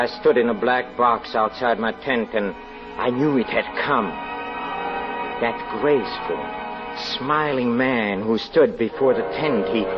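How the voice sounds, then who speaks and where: An actor playing an anguished, haunted fortune teller in an old radio drama, halting and brooding as he confesses. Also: medium pitch, lightly acted. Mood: haunted dread. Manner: halting, brooding, repeats words with dramatic hesitation. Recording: dull vintage radio recording, music underneath.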